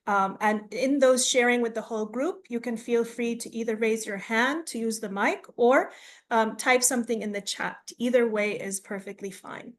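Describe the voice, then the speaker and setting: A woman's voice: fairly high pitch, moderate pace. A woman from the United States who grew up in Tanzania, facilitating an online workshop over a video call in a friendly, explaining way with frequent fillers. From United States, raised in Tanzania.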